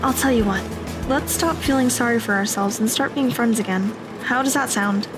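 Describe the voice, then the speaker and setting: Earnest high school girl played by a woman, apologetic, highish voice, audio drama, music underneath. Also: moderate pace, natural voice, low-quality recording. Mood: friendly.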